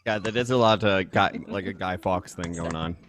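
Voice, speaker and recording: A man's voice: low voice, moderate pace, a bit bright. A man talking casually in a joking group chat. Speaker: parent.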